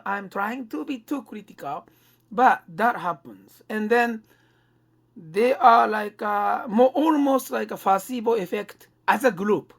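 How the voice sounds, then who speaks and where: Gravelly-voiced woman, slow and halting. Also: a little high. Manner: halting, often seeking agreement with tag questions. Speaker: podcast co-host. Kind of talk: conversational discussion.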